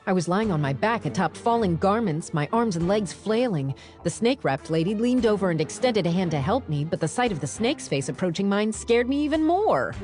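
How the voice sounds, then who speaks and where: A woman narrating a dramatized audiobook in the first person, with wry, expressive storytelling. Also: medium pitch, a little fast, hardly any pauses, soft background music.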